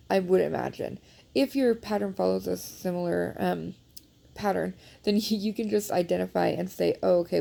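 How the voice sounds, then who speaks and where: A woman's voice: fairly low pitch, creaky, dark tone. A woman demonstrating a knitting repair in a chatty, self-deprecating way, congested and sniffling, recorded on a phone. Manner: chatty and self-deprecating, many fillers, apologetic. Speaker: knitting instructor. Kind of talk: casual how-to demonstration. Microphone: phone held nearby.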